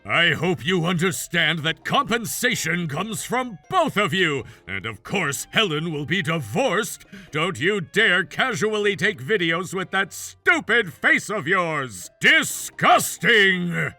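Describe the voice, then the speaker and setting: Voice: mid-range voice, big pitch swings, slow, few pauses, bright, forceful tone, faint music behind, heavily acted. A performer voicing a wronged husband in a story, cold, contemptuous and mockingly threatening. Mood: cold contempt.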